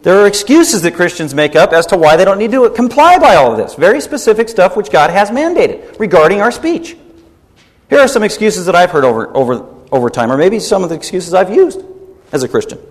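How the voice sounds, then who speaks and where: A man's voice: high-pitched, very animated, brisk, long reverb tail. A man preaching a sermon as pastor, emphatic and rebuking, driving points home by repeating them.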